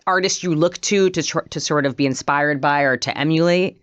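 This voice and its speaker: A woman's voice: low-pitched, a little fast, dry recording, muffled recording. A woman interviewing a band, encouraging and full of affirming murmurs. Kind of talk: interview questions.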